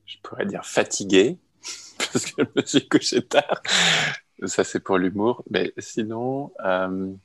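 Very deep, slow-speaking man. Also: no room sound. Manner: slow, hesitant, wry humor. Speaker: guest. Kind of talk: reflective interview answers.

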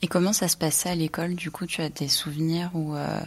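A woman hosting a radio-style interview, reading a scripted introduction and then asking calm, measured questions. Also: low voice, husky. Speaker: host.

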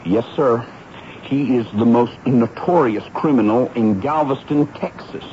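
An actor playing a boyish, earnest informer in a 1970s radio drama, deferential and resigned to confessing. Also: male voice, medium pitch, measured pace, natural voice. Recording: muffled old radio broadcast, faint noise.

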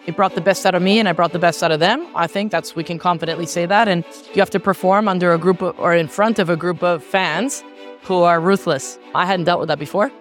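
A woman from Canada, a soccer coach and former player, answering interview questions with energy and many quick restarts. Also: lowish voice, faint music behind. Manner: energetic, fast, frequent restarts and fillers.

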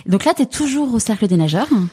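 A woman interviewing a guest in a casual talk, supportive and quick with short agreements. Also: a little high, big pitch swings, warm tone, slightly rough. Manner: supportive, frequent short backchannels, laughs easily.

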